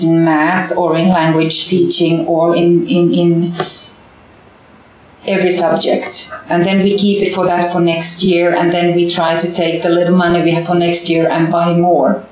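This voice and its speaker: A woman's voice: fairly low pitch, a little mellow, a little hiss or hum. A primary school teacher from Finland, a woman whose first language is Finnish, earnestly answering interview questions in English with examples. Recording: echoey, distant, dull audio.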